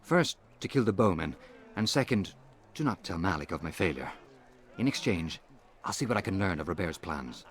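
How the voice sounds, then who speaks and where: Low-voiced worried assassin informant. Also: male voice, big pitch swings, normal speed. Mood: anxious, confiding. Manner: earnest, confiding. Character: worried male assassin informant asking favors of friend. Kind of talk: video game character acting.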